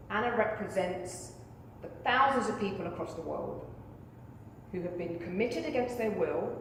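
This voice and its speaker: An actress playing a solemn woman giving a measured, rhetorical speech in a courtroom drama. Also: medium pitch, natural voice. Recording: echoey hall, distant mic, faint noise.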